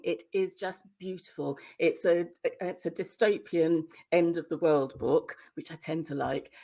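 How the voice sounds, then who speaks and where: A woman with a low-pitched British voice talks candidly as an interview guest. She is emphatic and conversational, handing out advice in plain, slightly sweary language. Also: vocal fry. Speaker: debut novelist, podcast guest. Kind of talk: conversational interview answers, giving writing advice.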